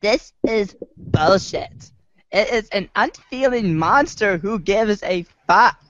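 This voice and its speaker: A dry, slightly muffled amateur recording carries a man's take on a sassy teenage boy talking like a valley girl. The delivery drawls slowly and swoops up and down with exaggerated slangy flair, as in a comic reader's theater. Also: sits high, expressive, a bit bright, no room sound, full character voice. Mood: flippant.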